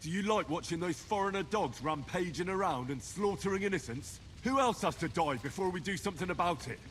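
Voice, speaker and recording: A man's voice: high voice, lively intonation, a little fast, a bit bright, slight background noise. An actor playing an earnest, hot-headed young man in a medieval video game drama, speaking with impassioned conviction. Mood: angry, defiant.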